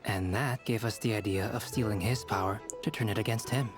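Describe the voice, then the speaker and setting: A man's voice: on the low side, fairly quick, close to an everyday voice. A man voicing a composed, analytical strategist in a video game, explaining things methodically. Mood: calm, explanatory. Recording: game audio under clear music.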